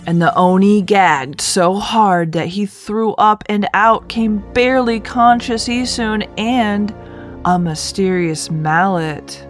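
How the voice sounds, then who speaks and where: A woman narrating a children's folktale as a read-aloud, with expressive, deliberate delivery. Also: medium pitch, soft background music. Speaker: storyteller.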